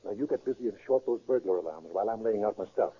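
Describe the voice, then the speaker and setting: An actor playing a bossy, confident safecracking gang leader in a 1930s radio drama. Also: male voice, lowish voice, lively intonation, racing, warm tone, husky. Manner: commanding, cocksure. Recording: muffled vintage 1930s radio recording.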